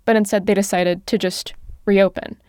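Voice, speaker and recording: Recorded dry and close, a woman speaks in a smooth, clear voice. She talks reflectively as a podcast co-host. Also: medium pitch, steady tone, moderate pace, a little mellow, dry recording. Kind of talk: reflective discussion.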